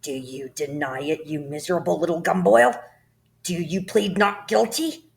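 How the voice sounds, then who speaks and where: A woman voicing a tyrannical headmistress who barks insults and shouts at a pupil in a storybook reading. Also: fairly low pitch, normal speed, vocal fry. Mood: furious. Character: bellowing tyrannical female headmistress, bullying.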